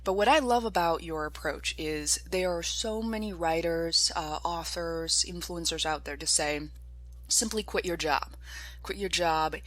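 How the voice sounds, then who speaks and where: A woman hosts a podcast interview, chatty and eager. Her voice sits low for a woman, and she laughs easily between questions. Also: measured pace, fairly bright tone, no room sound. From China. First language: Chinese. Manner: enthusiastic, chatty, laughs often. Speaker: podcast host.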